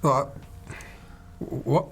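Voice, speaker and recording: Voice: very slow, a bit bright, faint music behind. A player doing a polite, curious professor. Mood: eager. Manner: polite, eager, hedging.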